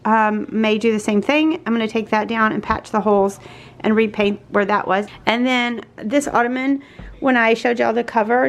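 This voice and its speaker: Hoarse, tired woman vlogging softly. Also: fairly high pitch, a little hiss or hum. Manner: rambling, soft, trailing off. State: hoarse from mild laryngitis; tired and worn out. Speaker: home vlogger. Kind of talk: casual chatty vlog. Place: small closed pantry indoors.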